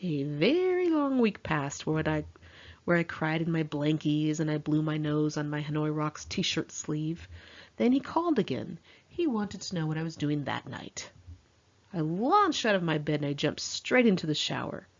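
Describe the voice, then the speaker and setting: Female memoir narrator, lower-pitched and husky, candid and wry, on a slightly muffled recording. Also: normal speed. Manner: candid, wry, dramatically expressive. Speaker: author reading own memoir. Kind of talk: memoir audiobook narration, confessional and conversational.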